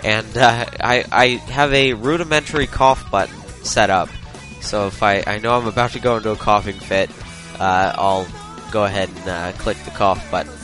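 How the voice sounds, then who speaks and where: A man's voice: on the low side, moderate pace, a bit bright, faint music behind. A man with a head cold hosting a solo tech podcast, talking loosely with lots of uhs. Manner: rambling, many filler uhs. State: congested from a cold. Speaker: podcast host.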